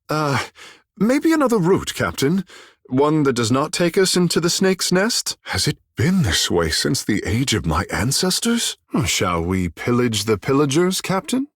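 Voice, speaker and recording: A man's voice: medium pitch, slightly rough, no echo, studio quality, exaggerated voice. A man voicing a vain, flirtatious fish-folk mystic companion in a video game, speaking in a theatrical, courtly way with playful wit. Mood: uneasy, wry.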